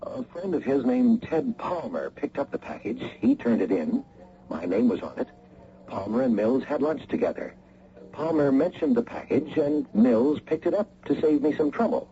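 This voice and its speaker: An easygoing businessman in a radio mystery, conversational and dismissive of alarm. His mellow voice swings expressively on a dull, faintly hissy old recording. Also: mid-range voice, sing-song, normal speed. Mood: earnest, defensive. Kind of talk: 1980 radio drama acting.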